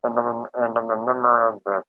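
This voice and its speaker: A man's voice: mid-range voice, little pitch movement, a little fast, no echo, faint music behind. Man, 20s, panelist, stammering, tinny phone-like audio, dry. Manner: stammering restarts.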